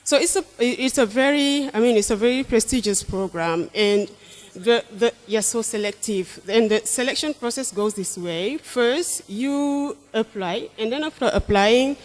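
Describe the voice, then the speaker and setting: A young woman from Senegal, an English teacher, answering interview questions thoughtfully, with restarts and soft laughs. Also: fairly high pitch. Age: young adult.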